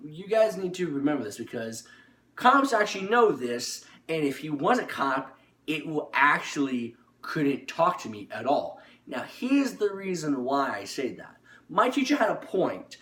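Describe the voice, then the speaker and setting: A man's voice: a little high, a bit bright. A man telling a personal story informally to his viewers, rambling and insistent, often repeating himself and restarting phrases. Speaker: storytelling YouTuber. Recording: echoey room, distant microphone.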